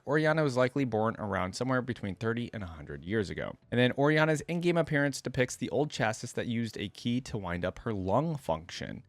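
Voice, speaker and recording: Guy doing casual reaction commentary, kinda musing and tentative, medium pitch, close dry mic. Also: no room sound. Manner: musing, tentative, addresses viewers directly. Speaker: reaction video host. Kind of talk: casual commentary, partly reading a wiki aloud.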